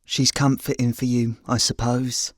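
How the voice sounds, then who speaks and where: A woman's voice: rumbling low, level tone, a little slow, no room sound. An actress playing a blunt, grumbling, sardonic woman in a comedy audio drama, with a dry manner. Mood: dry coaxing.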